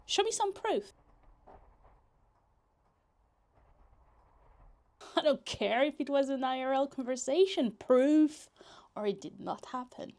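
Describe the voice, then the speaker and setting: A woman's voice: high-pitched, lively intonation, normal speed, a little mellow. A woman playing a possessive, lovesick yandere young woman in a roleplay ASMR, teasing, pouty and dramatic in casual, conversational speech. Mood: playfully indignant.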